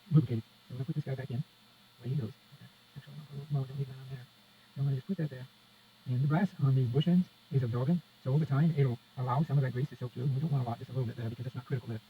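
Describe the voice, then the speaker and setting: A man talks viewers through hands-on work in a casual, step-by-step way, half to himself. His pace is quick. The recording is somewhat dull and lo-fi, with faint noise behind the voice. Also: medium pitch. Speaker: presenter of a radio repair how-to. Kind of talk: casual hands-on demonstration talk. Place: indoors at a repair workbench.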